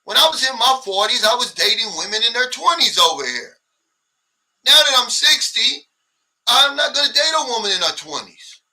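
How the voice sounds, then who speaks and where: Blunt man in his sixties, bright high voice. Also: moderate pace, distant mic, low-quality recording. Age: 60s. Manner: blunt, animated, mimics others' complaints. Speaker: livestream host. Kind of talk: casual livestream chat reading viewer comments.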